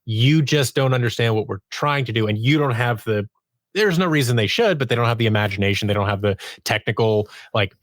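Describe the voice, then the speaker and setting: A man's voice: mid-range voice, fairly quick, dry recording. Man chatting as a guest, dry close sound. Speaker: podcast guest, audio drama producer and sound designer. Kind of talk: conversational interview storytelling.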